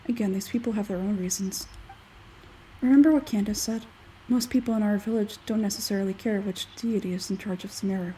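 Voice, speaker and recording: A woman voicing an aloof, logical, smug scholarly man from a game, measured and condescending, with a husky forced-low tone. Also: dark tone, far from the mic, slight background noise, natural voice, low-quality recording.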